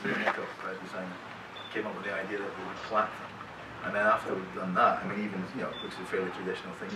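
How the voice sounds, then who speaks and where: A middle-aged man tells rambling, self-deprecating stories to a live panel audience, restarting his sentences as he goes. He sounds distant from the mic in a roomy, echoing hall, with loud room noise around him. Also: lowish voice. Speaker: actor guest on a convention panel. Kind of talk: casual anecdotes to a live audience.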